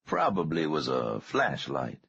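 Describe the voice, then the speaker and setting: An easygoing male investigator, voiced by a man in an audiobook, with a breathy, husky bass. He questions gently and reassuringly, with lively intonation and no hurry. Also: highs cut off, exaggerated voice. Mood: matter-of-fact. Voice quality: very deep, husky. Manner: unhurried, probing, gently reassuring. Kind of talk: audiobook character dialogue.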